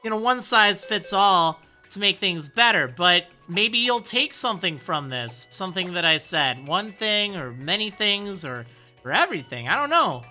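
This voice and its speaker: A man with a high, youthful-sounding voice streaming a game, reading viewer messages and answering with rambling encouragement. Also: very animated, moderate pace, pushed and bright, faint music behind. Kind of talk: casual live-stream chat.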